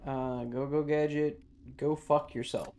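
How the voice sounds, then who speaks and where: A man's voice: on the high side, flat delivery, unhurried, noisy background. A man livestreaming a video game with casual, slangy, swearing chatter and jokey commentary. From Southern United States.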